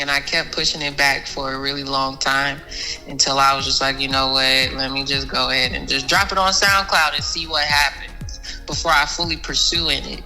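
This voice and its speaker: A woman's voice: deep voice, bright, forceful tone, low-quality recording. A woman guest in a casual interview, talking animatedly about her music with a soothing voice, often restarting words. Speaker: podcast guest, singer.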